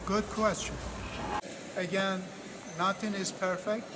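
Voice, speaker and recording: A man, answering interview questions in halting, emphatic phrases. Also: high-pitched, little pitch movement. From Iran. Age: 70s. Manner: halting, emphatic, broken grammar. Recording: noisy background ambience.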